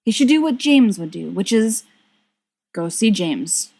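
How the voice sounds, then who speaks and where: A woman reading a story aloud as an expressive narrator. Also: mid-range voice, unhurried, vocal fry. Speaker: volunteer audiobook reader. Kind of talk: expressive scripted fiction narration.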